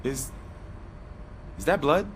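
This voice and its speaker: Earnest, eager young rookie cop. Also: male voice, on the high side, takes it slowly, a little hiss or hum. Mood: eager, excited. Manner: earnest, polite. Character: eager, deferential young male rookie police officer.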